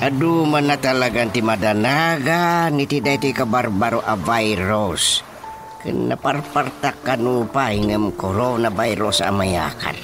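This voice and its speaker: Man acting slow-talking, emphatic Ilocano village chairman. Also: mid-range voice, natural voice. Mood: concerned. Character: plain-spoken, pious male village chairman. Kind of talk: radio drama acting. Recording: music bed under dialogue.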